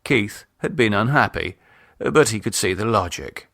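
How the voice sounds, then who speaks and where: Expressive British male storyteller, animated intonation. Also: fairly low pitch, measured pace, no room sound, studio quality. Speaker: author and narrator. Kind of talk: scripted audiobook narration.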